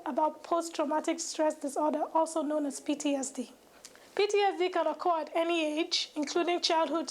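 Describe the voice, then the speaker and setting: A young woman, a medical student, giving a scripted educational presentation, reading formally and carefully with occasional stumbles. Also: extremely high pitch. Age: young adult.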